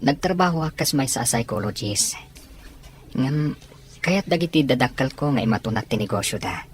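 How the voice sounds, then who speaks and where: A woman with a Filipino accent acting a concerned, gentle suitor who probes and consoles in a melodramatic audio drama. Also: very deep voice, expressive, moderate pace, slight background noise. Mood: curious, concerned. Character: concerned, gentle-hearted suitor from a business family.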